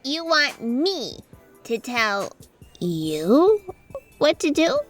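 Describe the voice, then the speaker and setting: Very squeaky, anxious fact checker. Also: female voice, very animated, extremely slow, far from the mic, faint music behind, exaggerated voice. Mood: nervous. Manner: melodramatic, squeaky, hesitant. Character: anxious, over-emotional, eager female fact checker. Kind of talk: comedic audio drama acting.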